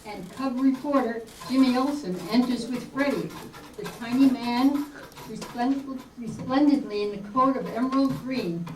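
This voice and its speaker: A woman's voice: on the high side, normal speed. A woman narrating a scripted radio drama. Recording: distant echoey room, faint noise.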